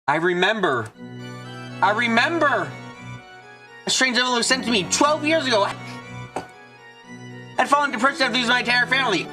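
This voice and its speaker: A man voicing a troubled young woman in a visual novel fan dub, speaking in a halting, earnest way. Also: extremely high pitch, animated, bright, forceful tone, soft background music, pitched above the performer's own voice, exaggerated voice. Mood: troubled, remembering. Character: troubled young woman recalling her lost family.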